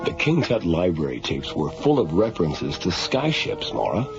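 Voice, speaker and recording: An actor playing an eager, informal space explorer captain in a science fiction radio drama. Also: male voice, low voice, sing-song, normal speed. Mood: keen. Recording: dull radio recording, music behind.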